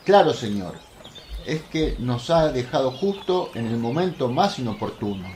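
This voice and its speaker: An actor playing an excitable, blunt apostle in a religious radio play, effusive and exclamatory. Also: male voice, medium pitch, slight background noise. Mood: grumbling, shaken.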